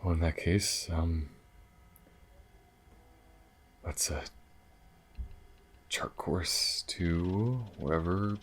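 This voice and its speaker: A man playing a gentle, battle-weary alien lover in an intimate ASMR roleplay, speaking softly and haltingly with a husky, breathy voice. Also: deep voice, exaggerated voice. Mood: relieved, tender. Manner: soft, halting, hesitant, sighing delivery.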